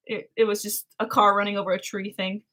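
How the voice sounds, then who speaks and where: A woman's voice: medium pitch, flat delivery, fairly quick, fairly bright tone. Giggly young woman chatting on a livestream. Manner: giggly, rambling, reads chat aloud. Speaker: livestream co-host. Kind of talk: casual livestream chat with a sibling.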